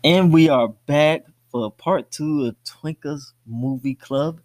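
A man's voice: on the high side, takes it slowly, a little mellow. A man co-hosting a movie podcast, talking casually in slang and swearing freely. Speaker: podcast co-host.

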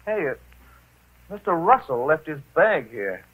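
An actor playing a calm, suave married private detective, probing and soothing, in 1940s radio style on an old recording. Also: male voice, fairly high pitch, unhurried, no room sound, phone-quality sound. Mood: brisk, investigating.

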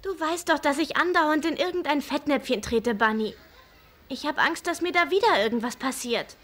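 Self-doubting teen girl for cartoon dub: high, bright, nervous best-friend type. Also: moderate pace. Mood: anxious. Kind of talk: animated series dub acting.

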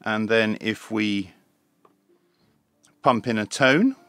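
A man with a fairly low voice presents a hands-on product demonstration. He explains each step methodically in an informal reviewer's style. The sound is dry, with very little room echo, as if recorded in a small room at a desk. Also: takes it slowly, fairly bright tone. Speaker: radio reviewer, channel host.

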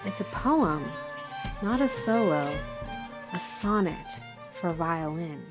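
An actress playing an earnest music documentarian, narrating in a deliberate, poetic, dramatic manner. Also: female voice, on the low side, expressive. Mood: earnest, reverent.

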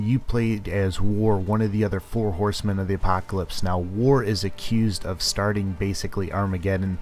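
A man hosting a gaming channel, talking casually over gameplay in a relaxed, chatty way with lots of "kind of" fillers. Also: deep voice. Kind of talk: casual let's play commentary.

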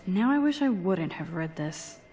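A woman's voice: on the low side, big pitch swings, unhurried, dark tone, slight background noise. Understated, introspective teenage girl. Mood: concerned. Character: shy, self-deprecating teenage girl photography student. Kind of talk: inner monologue in a video game.

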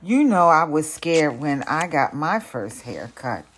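A woman voicing a boy's encouraging, playful father in a picture book, gently coaxing. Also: deep voice, very animated, takes it slowly, slightly rough, exaggerated voice. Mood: reassuring.

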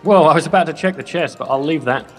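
A man plays an easygoing speedy young superhero in a game roleplay. He talks quickly and casually in a slightly high voice, with a long reverberant tail on the sound. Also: fairly bright tone, faint music behind. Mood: helpful. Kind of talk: improvised Minecraft roleplay, casual talk.

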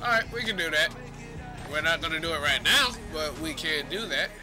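A young man with a stuffy, congested voice talks through a video game session in a loose, rambling way, dropping the odd curse. His voice sits a little high for a man. Game music plays clearly underneath him. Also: lively intonation, brisk. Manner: loose, rambling, swears casually. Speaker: gaming commentator. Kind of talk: casual gameplay commentary.

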